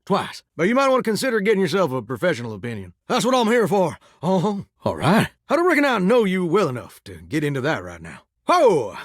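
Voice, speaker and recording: A man's voice: sits high, huge pitch swings, brisk, hardly any pauses, dry recording, clean studio sound, exaggerated voice. A man playing a folksy, flirtatious talking shower in a video game, with a theatrical Southern US drawl and a wistful streak. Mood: playful, chatty. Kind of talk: video game character acting.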